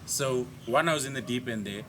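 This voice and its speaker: A young man, a stand-up comedian whose first language is Afrikaans, chatting casually as a podcast guest with playful jokes. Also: medium pitch. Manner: joking, playful, quick comebacks. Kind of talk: casual chat. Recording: noisy background.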